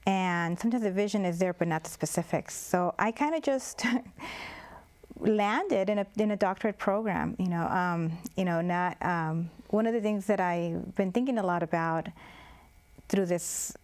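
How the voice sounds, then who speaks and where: A woman from Mexico talks through her story on a community TV interview. Her voice sits a little low, and she hesitates often, circling back with fillers as she thinks aloud. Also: normal speed, darkish tone. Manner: thoughtful, hesitant, many fillers and restarts. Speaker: guest. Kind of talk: public-access TV interview.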